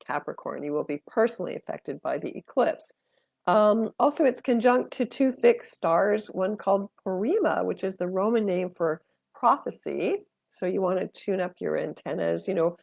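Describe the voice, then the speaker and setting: A woman's voice: lowish voice, creaky, darkish tone, no echo. An older woman from Canada, stuffed up with a cold, chatting casually and rambling with many fillers as she hosts a live astrology and tarot stream from her phone.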